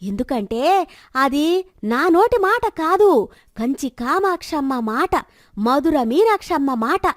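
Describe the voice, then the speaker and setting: A rustic woman fortune-teller, put on by a storyteller, high in pitch and recorded dry. She coaxes with sing-song endearments and delivers her prophecies with solemn assurance, as in a storybook drama. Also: big pitch swings, a little slow, dry recording. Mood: solemn, prophetic. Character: wandering village woman fortune-teller, soothing, prophetic, coaxing.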